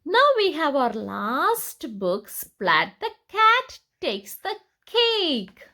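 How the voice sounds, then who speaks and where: A woman reading picture books aloud to young children in an expressive, deliberate storytelling manner. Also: sits high.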